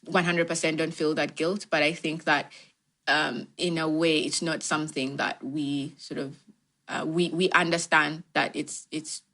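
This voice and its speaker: A woman from Uganda speaks with a Ugandan accent in a low register. She answers in a conversational, reflective way, explaining her thoughts step by step with frequent "you know". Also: flat delivery, unhurried, fairly bright tone. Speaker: tech organisation founder, interview guest.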